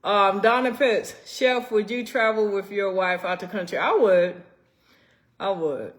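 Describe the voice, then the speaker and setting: A man's voice: high voice, unhurried, a bit bright. A man co-hosting a casual live Q&A, talking in a laid-back way. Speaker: co-host of a live Q&A stream. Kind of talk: casual live-stream chat.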